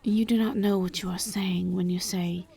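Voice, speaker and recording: A woman playing a gentle, reserved vampire in a roleplay audio drama, speaking in a hushed, breathy voice with careful, halting, formal phrasing. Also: fairly low pitch, steady tone. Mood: serious, cautious. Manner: halting, careful, formal diction without contractions. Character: gentle, reserved female vampire travelling with a knight.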